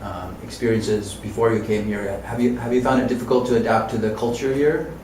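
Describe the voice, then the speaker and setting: A man interviewing a student, patient and soft-spoken with gentle murmured backchannels. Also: fairly low pitch, steady tone, brisk, slight background noise. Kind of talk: casual interview. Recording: roomy, distant mic.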